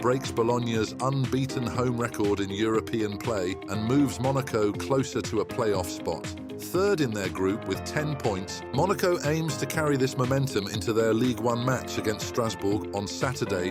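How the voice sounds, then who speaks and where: A male news presenter reading a weekly summary in a measured, even delivery. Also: fairly low pitch. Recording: news read over clear music bed.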